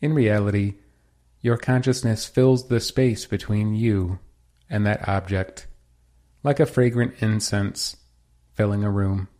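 A man leading a guided meditation as a teacher, with a measured, soothing, instructional delivery. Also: lowish voice, fairly even intonation, dark tone.

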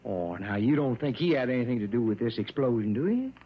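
A man's voice: mid-range voice, expressive, brisk, husky. An American man playing a folksy, hesitant small-town policeman who sounds deferential but uneasy, in old radio drama style. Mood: hesitant, wary. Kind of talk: 1950s-60s American radio drama acting. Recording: dull, noisy old radio recording.

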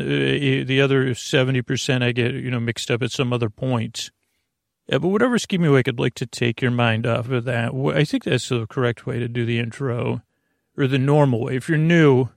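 A man hosting a bedtime podcast with a creaky voice, meandering hesitantly through a soothing monologue and often losing his thread. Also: medium pitch, dry recording, clean studio sound. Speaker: host of a bedtime story podcast to help listeners sleep.

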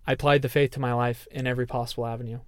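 A man in his thirties with a smooth, resonant voice talks with earnest openness. He sounds thoughtful and personal, recorded close and dry. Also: medium pitch, little pitch movement, rapid-fire, no echo. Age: 30s. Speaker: podcast co-host. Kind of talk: casual reflective chat.

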